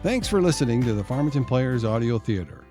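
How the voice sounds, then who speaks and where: A man's voice: medium pitch, expressive, fairly quick, over music. A man hosting an audio theater program, reading scripted credits as an announcer. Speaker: host and announcer.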